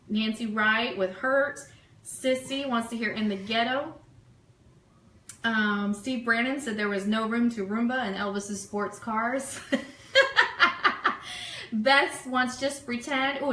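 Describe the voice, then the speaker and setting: A chatty, enthusiastic woman co-hosting a casual livestream radio show, reading out requests and shout-outs. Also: a little high, slow. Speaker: co-host of an online fan radio show. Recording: roomy echo, clear background noise.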